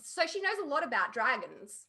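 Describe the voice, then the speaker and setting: A woman's voice: high-pitched, sing-song, brisk, gravelly, pushed and bright. Woman giving emphatic, casual opinions. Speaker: podcast panelist. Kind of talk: casual opinionated review chat.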